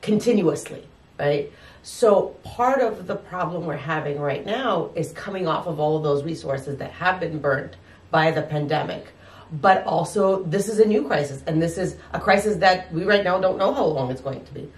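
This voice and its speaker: Low-voiced woman doctor, calmly explaining. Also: normal speed, echoey room, distant mic. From Ukraine. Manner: calm, explanatory, advice-giving. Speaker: doctor and medical guest. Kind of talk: explaining in an interview.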